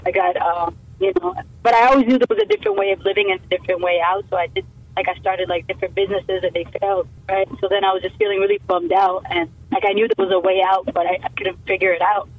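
Husky young woman over a phone line. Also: medium pitch. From Canada. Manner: rambling, many fillers and restarts. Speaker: musician guest. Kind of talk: casual interview talk.

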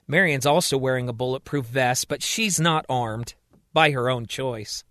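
A man telling a serial thriller in steady, expressive scripted narration. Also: mid-range voice, moderate pace, dry recording, studio quality. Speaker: storyteller.

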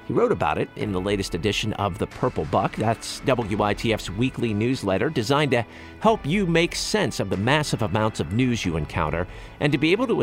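American newsman with lively, swinging intonation. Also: medium pitch. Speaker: radio news host. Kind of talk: scripted news narration.

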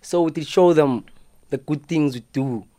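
A man's voice: on the high side, normal speed. A nineteen-year-old man in a radio studio, speaking casually and often adding you see. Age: teens. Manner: relaxed, ends phrases with "you see".

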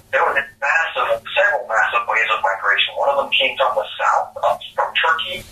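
A man lectures as a guest expert, eager and explanatory. His voice is sharply bright and forceful. He sounds a little distant, caught in an echoey room. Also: medium pitch. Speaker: guest scientist. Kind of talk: explanatory interview answers.

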